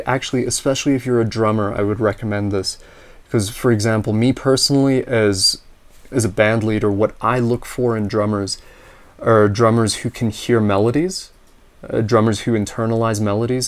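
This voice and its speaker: A man with a slightly raspy voice, teaching ear training: he explains in a relaxed, practical way and sings solfege scales and intervals. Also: fairly low pitch. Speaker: music teacher. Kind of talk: instructional talk with sung solfege drills.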